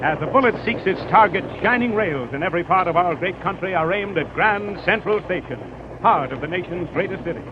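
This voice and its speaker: A man's voice: high-pitched, animated, brisk, background noise. A man narrating an old-time radio drama introduction in a grandiose, dramatic declamation. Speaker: radio announcer. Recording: dull old radio recording, loud background music.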